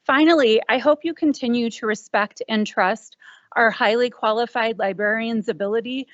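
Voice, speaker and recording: A woman with a naturally loud voice, a parent, reading a direct prepared comment at a podium microphone. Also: a little high, moderate pace, dry recording. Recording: dull lo-fi meeting audio.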